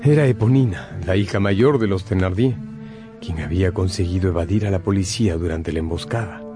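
A man's voice: low voice, fairly quick. A man narrating a scripted radio drama as a dramatic storyteller. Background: music.

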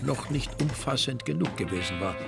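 A man's voice: mid-range voice, expressive, moderate pace, vocal fry, music in the background. A man narrating a crime radio play in a dry, ironic manner.

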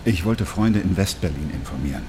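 An actor playing an earnest, troubled East German professor in a radio drama, hesitant at times and emphatic at others. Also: male voice, low voice, animated, quick pace, noisy background. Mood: grateful, shaken.

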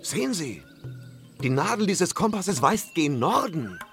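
An actor playing an urbane, eager gentleman explorer, courtly and mannered, in a comic radio play. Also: fairly high pitch, very animated, measured pace, soft background music. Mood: politely intriguing.

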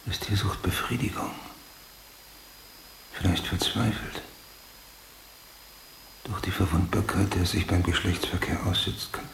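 An actor playing an obsessive, brooding police detective in a German radio drama, speaking in a clipped mutter that drifts toward a whisper. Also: male voice, low voice, steady tone, a little slow, gravelly, pauses often, fairly bright tone, heavily acted. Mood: obsessive, analytical. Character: obsessive, brooding male police detective hunting a serial killer. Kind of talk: German radio drama acting, interior monologue. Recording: roomy, faint hiss.

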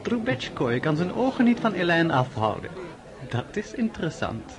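An actor playing a shrewd, mocking grown son of an aristocrat in a radio drama, sardonic and knowing. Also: male voice, sits high, very animated, normal speed. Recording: party crowd murmuring behind.

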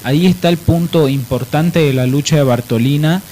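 A man's voice: fairly high pitch, normal speed. A man hosting a live radio discussion, explaining didactically and repeating phrases for emphasis. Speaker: radio talk host. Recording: noisy live radio with steady hiss.

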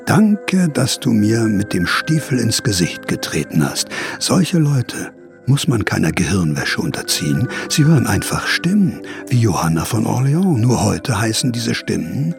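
An actor playing a mocking inner voice in a German radio drama, creaky, sardonic and lecturing. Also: male voice, on the low side, big pitch swings, a little slow, music in the background, heavily acted. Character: mocking male inner voice, sardonic sparring partner.

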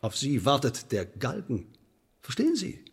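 Pompous, animated sheriff. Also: male voice, mid-range voice, unhurried, slightly rough, heavily acted. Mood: menacing, smug. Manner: pompous, pedantic, bureaucratic. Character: pompous, officious English sheriff interrogating a suspect. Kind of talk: radio play dialogue.

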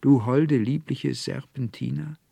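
A man's voice: mid-range voice, fairly even intonation, measured pace, vocal fry, mellow, no room sound, voice pushed up. A man voicing a timid, lovesick young student in a fairy tale, plaintive and yearning. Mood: pitiful longing.

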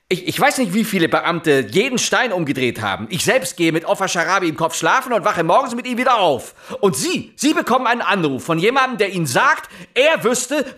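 An actor playing an intense, relentless police inspector in a German radio drama, pressing with insistent, halting questions. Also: male voice, high-pitched, fairly bright tone, heavily acted. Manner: insistent, with halting repeated words and pointed questions. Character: male police investigator interrogating, intense, relentless, exasperated.

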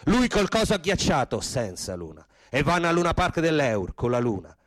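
Guy narrating a radio play, theatrical and rhythmic, pitch moves around a lot, very dry sound. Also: very animated, normal speed, dry recording. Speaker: actor-narrator.

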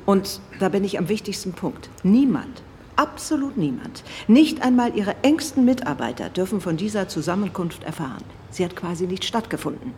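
An actress playing a stern, authoritative British prime minister in a German radio play. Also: female voice, medium pitch, sing-song, moderate pace, darkish tone, big-room sound, a little hiss or hum, lightly acted. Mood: grave.

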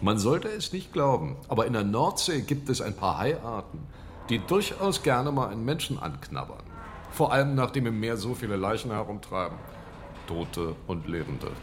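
A man's voice: lowish voice, sing-song, normal speed, a little hiss or hum. An actor playing a sardonic, unhinged oceanologist in a radio horror drama, mock-polite and menacing as he taunts people. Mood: musing, sardonic. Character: sardonic, unhinged male oceanologist holding strangers at gunpoint. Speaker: stranded researcher and captor.

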